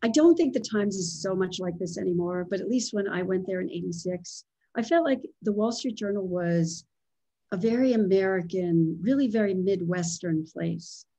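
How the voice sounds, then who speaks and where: A woman's voice: medium pitch, normal speed, dark tone, low-quality recording. A woman in her sixties from Pennsylvania, a writer speaking as a guest over a video call from her living room, thinking aloud as she answers. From small town in Pennsylvania. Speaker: guest, writer and former editor. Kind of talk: conversational video-call interview. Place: home living room with bookshelves.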